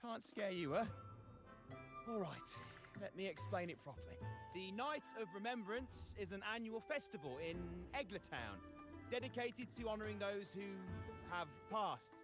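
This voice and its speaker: High-voiced, creaky festival showman. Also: expressive, normal speed, music in the background. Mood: playful explaining. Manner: spooky showman delivery, playful. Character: theatrical, teasing male festival greeter in a game. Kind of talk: video game character acting.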